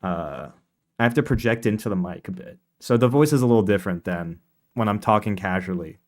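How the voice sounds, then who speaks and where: A man live streaming in casual, informal chat, repeating himself excitably and swearing now and then. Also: on the low side, brisk. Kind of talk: casual livestream chat, commenting on own video. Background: quiet lo-fi music.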